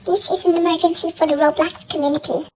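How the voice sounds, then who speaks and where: A very high, childlike-pitched voice reading a scripted mock emergency news bulletin as the newsreader. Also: steady tone, quick pace, a little mellow. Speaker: newsreader in a fictional emergency alert scenario.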